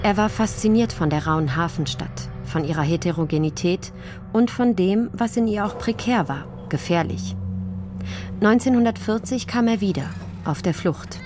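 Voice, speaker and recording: A woman's voice: medium pitch, lively intonation, normal speed, music in the background. A woman reading scripted narration for a radio feature as its reporter.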